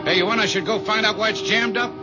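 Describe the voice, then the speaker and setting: An actor playing a brash, slangy working-class truck driver in a 1970s radio drama. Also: male voice, sits high, fairly even intonation, fast talker, lightly acted. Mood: brash, alert. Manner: slangy, streetwise, ungrammatical. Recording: dull vintage radio broadcast with music.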